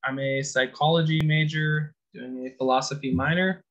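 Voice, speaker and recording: Young male student from Colorado, relaxed chatty panelist, mid-pitched, dull video-call sound. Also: moderate pace. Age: young adult. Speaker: orientation leader. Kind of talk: casual panel introduction and advice.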